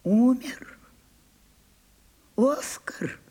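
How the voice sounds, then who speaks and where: A woman's voice: a little high, lively intonation, drawn-out words, dark tone, breathy edge, whispered, full character voice. An older woman playing an imperious, weary and capricious old French actress in a Soviet radio drama, theatrical and commanding. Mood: theatrical, insistent.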